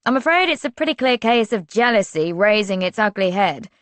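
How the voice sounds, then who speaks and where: A woman's voice: medium pitch, lively intonation, brisk, dry recording, voice pushed up, lightly acted. Brief: defensive, gossipy female administrator, read by the narrator, wry, dry sound.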